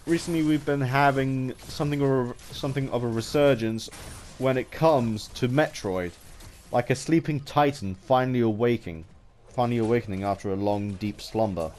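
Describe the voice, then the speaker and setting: Halting, rambling man over background noise. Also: mid-range voice, darkish tone. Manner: halting, often stumbles and repeats phrases. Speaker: gaming vlogger. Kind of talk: rambling casual commentary to viewers.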